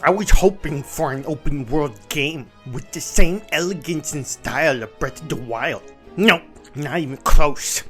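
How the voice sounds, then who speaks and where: A man's voice: a little high, measured pace, bright, forceful tone. A man giving a dramatic, emphatic reading of negative game reviews in a displeased, exaggerated manner. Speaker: narrator. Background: background music.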